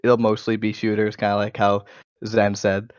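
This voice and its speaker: A man's voice: on the low side, monotone, moderate pace, clear, resonant tone, dry recording, dull audio. A man in his twenties with an American accent, chatting casually as a gaming podcast co-host through a cheap gaming microphone. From United States. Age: 20s. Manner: occasional stutter. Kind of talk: casual chat. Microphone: low-quality gaming microphone.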